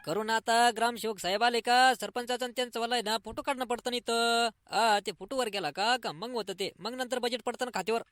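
A man playing an eager, hurried poor villager in a comic cartoon, with a rural Maharashtrian accent and urgent, colloquial speech. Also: extremely high pitch, a bit bright, no room sound, studio quality. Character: eager, hurried poor villager awaiting his housing grant.

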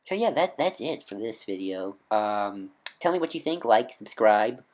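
A man with a nasal voice giving casual, off-the-cuff fan commentary as a vlogger, rambling and halting as he corrects himself. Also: fairly low pitch, expressive, a little mellow. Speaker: YouTube vlogger and podcaster.

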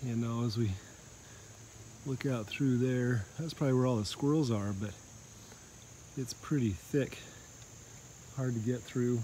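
A man's voice: mid-range voice, unhurried, long pauses. Chatty man walking in summer woods. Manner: relaxed, chatty, joking with his son. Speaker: vlogger and father. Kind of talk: casual outdoor vlog chat. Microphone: handheld phone at arm's length. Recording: outdoor insect noise behind. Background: crickets and insects.

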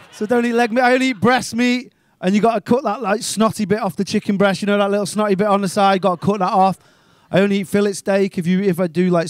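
A man doing an animated, crude stand-up set on a small comedy club stage into a handheld mic. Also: sits high. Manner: animated, crude, ranting and incredulous.

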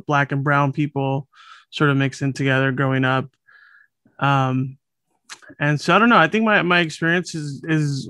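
Late-thirties man from Los Angeles, podcast guest, smooth voice sitting a touch high, dry close sound, unhurried and reflective. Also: no room sound. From Los Angeles, USA. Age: late 30s. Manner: relaxed, thoughtful, with many fillers and restarts. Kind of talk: casual conversational interview. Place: home room, over a video call.

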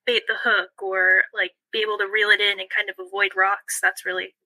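A woman's voice: mid-range voice, flat delivery, fairly quick, pushed and bright, no echo, poor audio. A young woman, a college fishing club member, answering podcast questions enthusiastically. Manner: enthusiastic, repeats "awesome".

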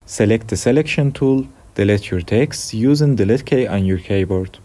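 Young iranian guy, low mellow voice, calm step by step software lesson. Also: moderate pace. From Iran. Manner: plain step-by-step instructions. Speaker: tutorial host. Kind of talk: software tutorial. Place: dim room at a desk.

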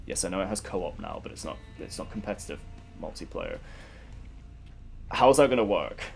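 Young male gaming commentator, deep and stuffy, rambly and candid, swears freely. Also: animated, normal speed, creaky, husky, soft background music. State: congested from a cold. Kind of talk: casual gameplay commentary.

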